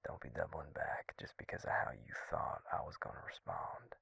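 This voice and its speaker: Gravelly, hushed Southern vampire played by a man. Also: very animated, moderate pace, no room sound, clean studio sound, exaggerated voice. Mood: concerned, soothing. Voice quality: low, gravelly, breathy near-whisper. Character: gentle, courteous male Southern vampire, protective and unhurried. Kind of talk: intimate audio roleplay acting addressed to the listener.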